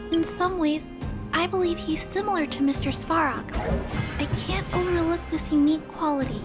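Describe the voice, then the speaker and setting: A woman voicing a kind, earnest young girl who fixes robots, speaking politely and gently. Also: extremely high pitch. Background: game music.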